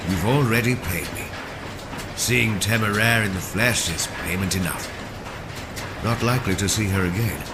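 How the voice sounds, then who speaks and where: A man's voice: on the low side, normal speed, very noisy, natural voice. A man in an audio play acting as a genial master engineer at a shipyard, courteous and admiring. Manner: courteous, appreciative.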